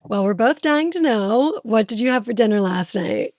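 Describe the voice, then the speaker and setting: A woman's voice: fairly high pitch, animated, normal speed, darkish tone, no echo. Woman co-host, eager, welcoming, dry close sound. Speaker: podcast co-host. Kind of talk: casual interview.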